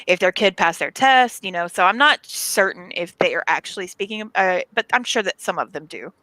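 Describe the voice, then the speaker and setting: Bright-voiced chatty woman. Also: on the low side, expressive, normal speed, dry recording, low-quality recording. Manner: chatty, explains at length, laughs often. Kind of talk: casual chat.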